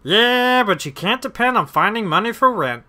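A man voicing an excitable, foul-mouthed young sidekick in a comedic audio drama, whiny, indignant and slangy. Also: sits high, moderate pace, exaggerated voice. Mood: grumbling.

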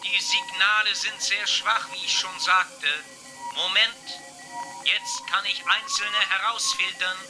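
A man voicing a calm, helpful robot ship computer in a children's sci-fi radio drama, speaking in a measured, formal, factual way. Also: sits high, poor audio. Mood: matter-of-fact. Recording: music behind the voice.

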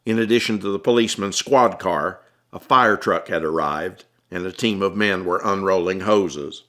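Male author-narrator, lowish register, scripted dramatic storytelling for an audiobook. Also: a bit bright. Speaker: author reading his own audiobook.

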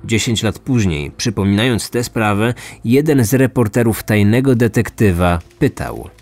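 A man hosting a true-crime podcast, narrating from a script in measured storytelling style. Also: lowish voice, expressive, darkish tone. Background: background music.

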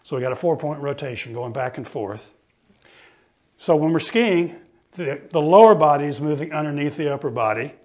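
A middle-aged man coaching ski fitness, explaining each exercise calmly while he demonstrates it in a studio. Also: on the high side, moderate pace. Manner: patient, explanatory, talks while moving.

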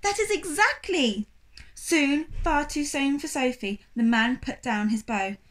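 A woman in her thirties giving an expressive read-aloud narration of a children's novel. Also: high-pitched, a little slow. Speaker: storybook reader. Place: small indoor room, reclining on cushions.

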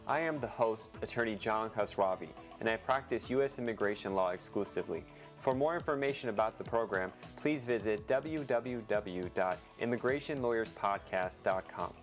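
Chatty male podcast host, faint music. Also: on the low side. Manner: conversational, with frequent fillers and restarts. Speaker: podcast host, immigration attorney. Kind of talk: casual interview.

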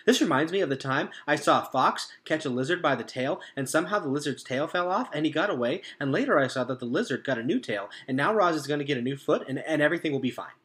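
A man voicing an excitable, chattering squirrel in a storybook reading, rambling and rushing. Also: a little high. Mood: excited. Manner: rambling, rushed.

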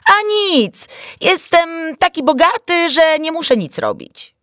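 A sly young water demon in a storybook, acted out by a woman, pitched high and swooping. He is boastful and evasive, passing himself off as an idle dandy. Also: sing-song, slow, fairly bright tone, no room sound, studio quality, exaggerated voice. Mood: breezy, boastful.

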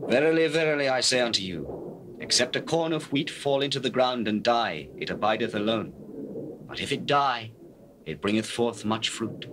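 An actor playing Jesus as a solemn, authoritative teacher, measured and declamatory, in a dramatized scripture reading. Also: male voice, mid-range voice, lively intonation, close to an everyday voice. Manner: measured, declamatory, archaic diction. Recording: noisy old cassette tape.

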